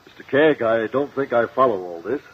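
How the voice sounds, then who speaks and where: An actor playing an inquiring judge in a 1950s radio courtroom drama, formal and measured. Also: male voice, medium pitch, lively intonation, close to an everyday voice. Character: puzzled, inquiring male judge presiding in court. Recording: muffled, noisy old radio recording.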